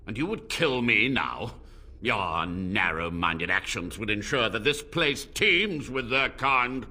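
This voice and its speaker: A haughty old mage, lively and bright. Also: male voice, lowish voice, slow, heavily acted. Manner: haughty, lofty and dramatic delivery. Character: arrogant old human mage, cold and scornful. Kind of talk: video game voice acting.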